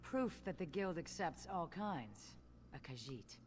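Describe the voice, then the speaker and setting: An actor, a woman, playing an earnest, newly appointed guildmaster in a fantasy game, speaking in a measured, formal way. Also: low voice, sing-song, slightly rough, heavily acted. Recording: game music underneath.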